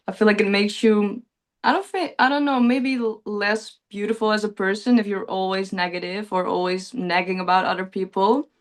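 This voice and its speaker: A young woman with a Dutch accent speaks over a video call. Her talk is earnest and chatty, easy laughs between her answers. Her voice sits mid-range for a woman. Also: medium pitch. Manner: earnest, chatty, laughs often, relives moments with lively quoting. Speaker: pageant contestant, guest. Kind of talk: casual interview answers.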